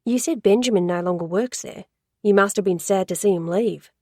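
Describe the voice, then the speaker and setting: A woman voicing a patient male investigator with an Australian accent, who asks gentle, probing questions in a storybook reading. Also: mid-range voice, fairly quick, no room sound, clean studio sound, natural voice. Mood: calm.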